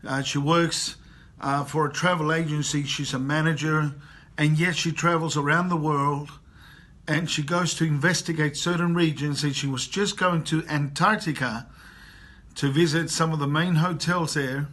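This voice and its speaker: A man's voice: a little high, fairly even intonation, normal speed, fairly bright tone. A man in his fifties from Chile, giving an earnest motivational talk and repeating phrases for emphasis. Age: 50s. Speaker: motivational coach. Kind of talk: personal motivational talk to camera. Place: travelling abroad.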